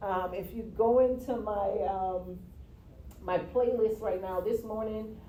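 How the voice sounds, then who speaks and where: A woman from the US Virgin Islands, a guest telling her story in a casual interview, expressive and quick to laugh. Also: mid-range voice. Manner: expressive, laughs easily, repeats "yeah". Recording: roomy, from a distance, faint noise.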